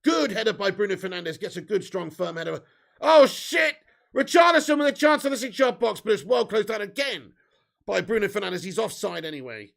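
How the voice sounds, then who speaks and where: A man with a hoarse, fading voice, giving sweary, excitable live fan commentary while reading chat. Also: high voice, fairly quick. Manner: swearing, excitable, shouts at chances. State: losing his voice. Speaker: fan watch-along streamer.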